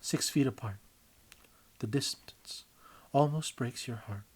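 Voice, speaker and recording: A male storyteller with a husky, slightly rough voice and a mid-range pitch. He reads scripted fiction dramatically, shaping each phrase deliberately and leaning on key words. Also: darkish tone. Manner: theatrical, emphatic, deliberate phrasing. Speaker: writer and reader of own story. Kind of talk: dramatic scripted story narration in second person.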